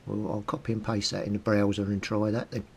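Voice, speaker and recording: A man's voice: fairly quick, vocal fry, slightly rough. A British man giving a casual how-to commentary, thinking aloud and muttering informally to himself as he works. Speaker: hobbyist video host. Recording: steady background noise with low rumble.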